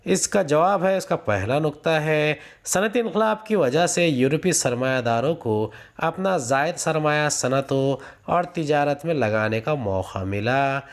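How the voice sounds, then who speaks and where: A man's voice: fairly high pitch, lively intonation, unhurried, hardly any pauses. A man reading out a lesson methodically as a teacher, announcing each numbered point. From India. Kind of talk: scripted lesson, reading questions and answers aloud.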